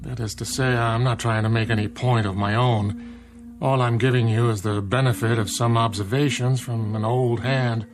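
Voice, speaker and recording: A man's voice: mid-range voice, steady tone, music in the background, lightly acted. Wry, folksy old country doctor played by a man. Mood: grave, musing. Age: older. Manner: measured, wordy, dryly musing. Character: wry, folksy, elderly small-town doctor. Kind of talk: old-time radio drama acting.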